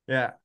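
A man's voice: medium pitch, pushed and bright. Middle-aged man hosting casually. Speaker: host, puppeteer and author. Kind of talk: casual online event hosting. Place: home room on a video call.

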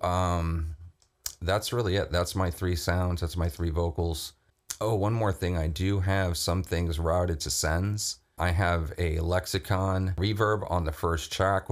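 A man's voice: low-pitched, fairly even intonation, normal speed. A middle-aged man casually explaining his vocal effects chain in a home music studio, talking loosely with many fillers and restarts. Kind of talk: casual screen-recorded tutorial. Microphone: studio condenser mic with headphones.

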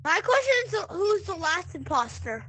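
A woman playing a VR game, excitedly bossing the others around and shouting orders. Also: extremely high pitch, moderate pace. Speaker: VR game player. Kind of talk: casual game chat.

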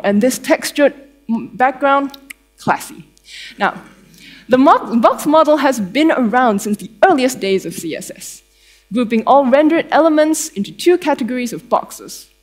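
Young Malaysian woman with a high-pitched voice. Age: young adult. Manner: conversational, playful, dry humour. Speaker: conference speaker, developer advocate. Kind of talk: conference tech talk. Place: theatre stage before an audience.

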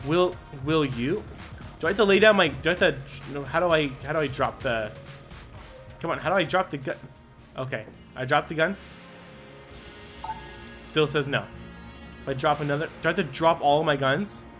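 A young man giving casual, excitable commentary while playing a video game, often exclaiming and stumbling over his words. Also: on the high side, normal speed, pauses often. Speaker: gaming YouTuber. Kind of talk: casual let's play commentary. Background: game music.

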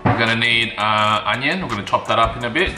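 A man's voice: mid-range voice, fast, bright, forceful tone. A man in his thirties with an Australian accent, chatting casually through a home cooking vlog and joking with his kids as he explains each step. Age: 30s. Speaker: family vlogger. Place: home kitchen, indoors. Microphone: handheld camera at arm's length. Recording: roomy kitchen with background music.

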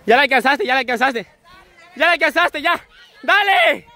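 A Salvadoran man hosting a vlog, cheering on a running game with rapid repeated shouts, recorded on a camera mic outdoors. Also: very high voice, expressive, some chatter behind. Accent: Salvadoran Spanish. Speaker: vlog host filming a group game. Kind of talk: excited live game commentary. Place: outdoor park lawn with crowd.

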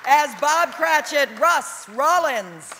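A woman's voice: high voice, animated, slow, bright, forceful tone. A woman in her thirties at a podium mic on a large theater stage, introducing the cast and calling out names with emphasis. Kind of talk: live event announcing. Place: large theater stage before a big audience. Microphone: podium mic on stand. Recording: echoey hall, audience noise. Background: crowd cheering.

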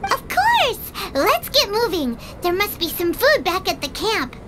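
A woman voicing a tiny floating fairy companion girl with a squeaky, childlike voice, bubbly and excitable, in a video game. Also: measured pace, heavy background noise, exaggerated voice. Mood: cheerful. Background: game music.

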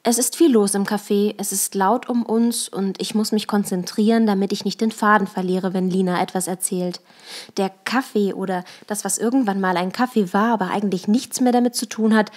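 A woman's voice: medium pitch, steady tone, moderate pace, darkish tone. A young adult woman reading her own short story as a first-person literary narrator. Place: improvised desk studio at home.

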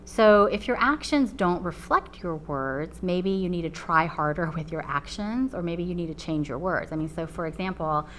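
A woman in her forties, a college professor whose first language is Chinese, giving a measured, explanatory studio talk full of ums. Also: fairly low pitch, animated, slight background noise. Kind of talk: recorded talk to a webinar audience. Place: TV studio with a painted backdrop.

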